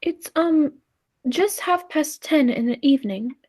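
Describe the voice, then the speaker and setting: Casting: actress as deferential doubting guest, vintage radio drama. High, soft-toned voice, timid and exclamatory. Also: female voice, animated, unhurried. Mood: nervous, doubtful. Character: polite, timid, skeptical dinner guest of the professor. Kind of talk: 1940s radio play acting.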